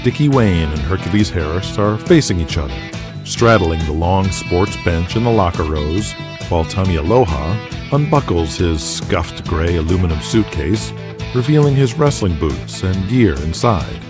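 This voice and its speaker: Male storyteller, lowish and animated, scripted drama narration, music underneath. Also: moderate pace. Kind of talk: scripted audio drama narration in present tense.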